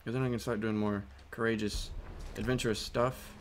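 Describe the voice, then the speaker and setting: A man with a put-on British accent, giving casual, excitable commentary over his own gameplay and swearing as he reacts. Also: on the low side, a little fast, noisy background. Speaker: gaming channel host.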